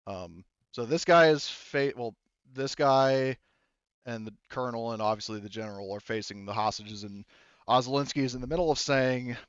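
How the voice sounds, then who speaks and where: A man running a tabletop roleplaying game as game master, narrating casually and haltingly with muttered asides. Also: medium pitch, clean tone, no echo, lo-fi sound.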